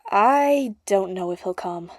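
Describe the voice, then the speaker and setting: A woman's voice: mid-range voice, expressive, slow pace, a little mellow, dry recording, studio quality. Woman reading as a flustered, hesitant teenage girl. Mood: resigned. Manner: hesitant, blurting, questioning. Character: flustered, lovestruck teenage girl, unsure yet persistent. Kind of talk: audiobook character dialogue.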